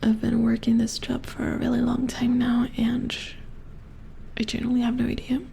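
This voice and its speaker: A woman playing a weary, puzzled robot repair engineer in a soft-spoken ASMR horror roleplay, murmuring hesitantly in a hushed, breathy voice close to the mic. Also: fairly high pitch, monotone, moderate pace, a little hiss or hum. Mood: puzzled, apologetic. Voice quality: breathy whisper with fry. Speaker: ASMR performer. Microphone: close ASMR microphone.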